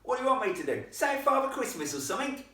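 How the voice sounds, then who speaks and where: A man voicing a cheeky, impatient working-class ghost boy with a rough Cockney accent, in a storybook reading. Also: extremely high pitch, very animated, quick pace, pushed and bright, pitched above the performer's own voice, exaggerated voice. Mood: exasperated. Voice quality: rough-edged, uncouth speech. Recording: echoey room, distant microphone.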